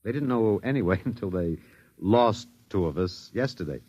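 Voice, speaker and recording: An actor playing a wry, detached, philosophical American academic, musing and hesitant, in a 1950s radio drama. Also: male voice, lowish voice, lively intonation, moderate pace, a little mellow, breathy edge, no room sound. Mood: darkly amused. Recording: dull vintage 1950s radio recording.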